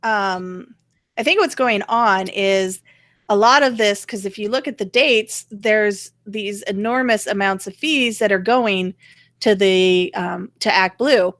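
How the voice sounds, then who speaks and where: A woman's voice: medium pitch, takes it slowly, fairly bright tone, no echo. A woman host chatting casually about politics in a blunt, sarcastic, mildly profane way. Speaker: talk show host.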